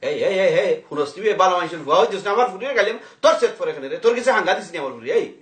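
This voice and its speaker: A man's voice: high-pitched, expressive, rapid-fire, a bit bright. A middle-aged man with a Sylheti accent arguing indignantly on a phone call, scolding and repeating himself. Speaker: prank call participant, speaking as an angry father. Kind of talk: heated phone argument. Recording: echoey phone call recording.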